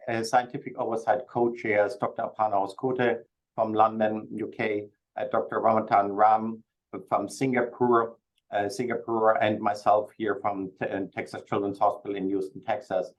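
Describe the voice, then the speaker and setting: A man with a German accent gives a webinar presentation. His voice sits fairly low, and he speaks politely, with small halts and restarts. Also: flat delivery, low-quality recording. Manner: polite, slightly halting. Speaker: presenter, registry committee chair.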